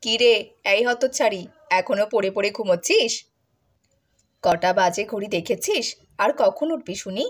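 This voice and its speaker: A woman playing a harsh, bossy middle-aged stepmother in a cartoon moral tale, with a nagging way of talking and a husky voice. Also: medium pitch, expressive, a little slow, long pauses, slight background noise, lightly acted. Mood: scolding.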